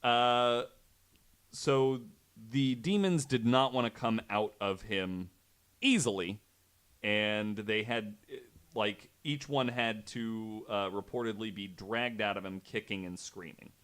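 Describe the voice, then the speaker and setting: Low-pitched man telling a true story. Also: takes it slowly, fairly bright tone, clear, resonant tone. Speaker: podcast host. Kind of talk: conversational true-story narration with wry asides.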